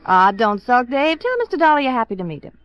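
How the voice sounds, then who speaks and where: A woman's voice: on the high side, big pitch swings, quick pace, smooth, no room sound. An actress playing a candid, world-weary American society woman in a 1950s radio drama, speaking in a cool, languid way. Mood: firm, irritated. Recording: dull vintage radio recording.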